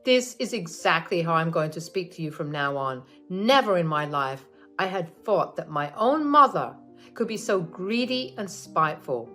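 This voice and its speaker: Woman reading an indignant young husband, firm, expressive. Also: lowish voice, moderate pace, soft background music. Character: angry young husband defending his wife.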